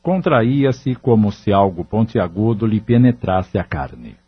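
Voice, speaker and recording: A man narrates an audio drama from a script. His voice is low and somewhat breathy, and the recording sounds a little dull. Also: animated, a little slow, dry recording.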